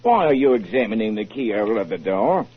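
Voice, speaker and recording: Muffled old radio show, a puzzled copper, plain talking, lively intonation. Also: male voice, on the low side, a little fast, breathy edge. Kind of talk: 1940s radio drama acting. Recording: muffled 1940s radio broadcast recording.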